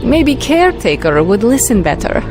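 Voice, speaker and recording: A woman's voice: a little high, very animated, normal speed, over music. A woman playing a teasing, mischievous young sorceress, sly and confident, in a video game. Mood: amused, teasing. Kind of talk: video game cutscene acting.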